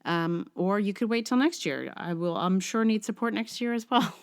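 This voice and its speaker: A middle-aged woman hosting a podcast, chatting casually in a halting, informal and self-deprecating way. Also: mid-range voice, lively intonation, clean tone. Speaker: podcast host. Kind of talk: casual podcast outro chat.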